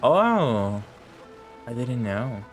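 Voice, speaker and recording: A man's voice: mid-range voice, big pitch swings, extremely slow, soft background music. A man giving casual livestream game commentary, reacting and talking back to the game as he plays. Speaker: game streamer.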